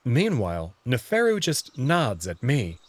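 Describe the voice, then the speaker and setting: A man's voice: mid-range voice, wildly swooping pitch, measured pace, no room sound. A man reading scripted first-person narration for a visual novel.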